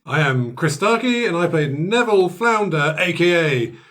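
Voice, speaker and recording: A man's voice: high-pitched, animated, normal speed, fairly bright tone. A man in casual podcast banter, with a dry, teasing manner. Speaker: cast member.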